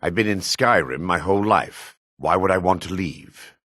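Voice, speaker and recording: An actor playing a proud, gruff dark elf warrior in a fantasy game, with a raspy voice and a haughty, clipped, sardonic manner. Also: male voice, low-pitched, normal speed, no room sound, studio quality. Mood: weary, matter-of-fact. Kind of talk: fantasy video game character dialogue.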